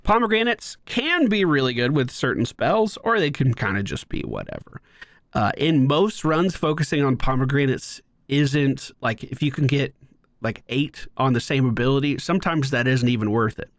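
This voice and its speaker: A man's voice: medium pitch, very animated, normal speed, no room sound, studio quality. A man streaming a video game, giving casual play-by-play commentary on his own moves with asides to viewers. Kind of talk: live gameplay commentary, casual.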